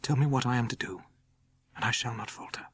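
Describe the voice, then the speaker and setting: An anguished young gentleman, voiced by a male narrator, brave in his grief. His delivery is earnest and strained, suited to a Gothic horror story. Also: medium pitch, fairly even intonation, fairly quick, breathy edge, natural voice. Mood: brave, resolved.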